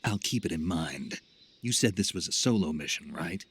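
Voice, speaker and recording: An actor playing a gruff, sardonic American special forces soldier in a video game, with a gravelly voice and terse, wry delivery. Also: male voice, low voice, expressive, moderate pace, slight background noise. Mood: wry, questioning. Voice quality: gravelly, husky. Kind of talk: video game cutscene acting.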